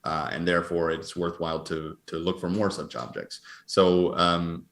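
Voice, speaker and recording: Low-voiced man, halting, in an echoey room. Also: fairly even intonation, creaky, a bit bright. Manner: hesitant, halting, hedging. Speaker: astronomer, interview guest. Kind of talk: conversational interview answers. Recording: roomy, echoing sound.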